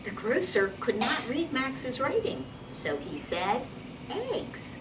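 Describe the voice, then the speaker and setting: A woman's voice: on the high side, huge pitch swings, takes it slowly. A woman teacher reading a picture book aloud to young children in a classroom, encouraging and instructive as she talks to them. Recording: distant mic, very noisy classroom.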